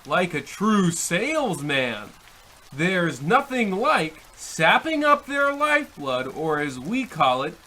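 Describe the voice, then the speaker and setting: A man's voice: high-pitched, sing-song, slow pace, pushed and bright, heavily acted. A man playing a sly devil posing as a traveling salesman, theatrical and oily in a comic radio play. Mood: gleeful menace. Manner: theatrical, oily, over-polite. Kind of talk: comic old-time radio play acting.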